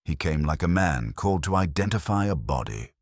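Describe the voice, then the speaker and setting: Very deep-voiced man narrating. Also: sing-song, brisk, no room sound, studio quality. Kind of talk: scripted audiobook narration of a detective story.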